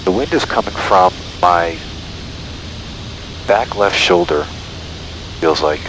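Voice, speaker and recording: Low-voiced man piloting in a noisy cockpit. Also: slow pace. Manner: talks self through tasks. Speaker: pilot flying a small plane. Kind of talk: casual cockpit chat, narrating own flying. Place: small propeller plane cockpit in flight. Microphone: aviation headset. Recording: loud steady aircraft cabin noise.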